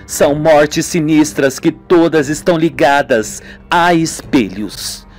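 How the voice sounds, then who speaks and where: A man's voice: a little high, slow pace, soft background music. Serious, inquisitive female investigator, voiced by a man. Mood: grave. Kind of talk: amateur radio soap acting.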